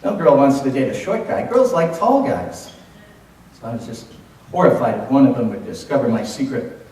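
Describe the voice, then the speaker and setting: A man of fifty with a Californian American accent tells a humorous story as a contest speech, theatrically, with dramatic pauses and emphasis. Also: mid-range voice, big pitch swings, darkish tone. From Los Angeles, United States. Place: indoor room at a speech contest, audience present. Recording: echoey room from far off, faint noise.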